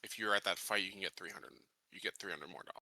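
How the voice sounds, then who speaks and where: Very bright, quick-talking man. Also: low-pitched, no room sound. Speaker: tabletop game player. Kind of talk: casual chat.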